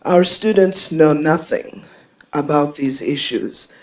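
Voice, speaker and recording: Brief: male educator, audience comment, earnest and insistent, slightly high voice. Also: slow. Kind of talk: conference floor comment. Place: conference hall.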